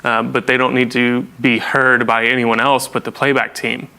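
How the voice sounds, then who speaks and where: A man gives an informal training talk in a bright, clear voice. His pitch stays very level as he explains things casually in plain words. He sounds like a hands-on technical presenter walking learners through examples. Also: level tone, moderate pace, low-quality recording.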